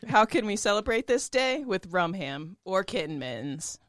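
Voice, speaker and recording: A woman's voice: medium pitch, very animated, moderate pace, a bit bright, dry recording, studio quality. A woman co-hosting a podcast in casual comic chat, playful, wry and blunt. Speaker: podcast co-host.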